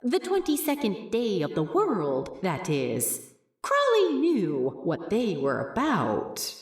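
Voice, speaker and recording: A woman narrating scripted fan fiction as an expressive storyteller. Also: mid-range voice, slow, slightly rough, dry recording.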